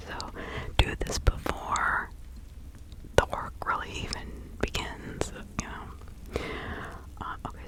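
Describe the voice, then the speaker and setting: A woman playing a helpful nature witch in a whispered ASMR roleplay, giving instructions in a breathy, halting, conversational way. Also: close to an everyday voice, rough recording. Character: practical, helpful nature witch advising a client in 1656.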